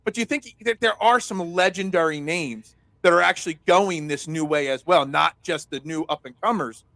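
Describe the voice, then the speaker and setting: A man hosting a sports talk show, loud and chatty, telling rambling stories. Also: a little high, normal speed, dry recording. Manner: chatty, rambling storyteller with many restarts. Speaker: host. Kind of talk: casual sports talk interview.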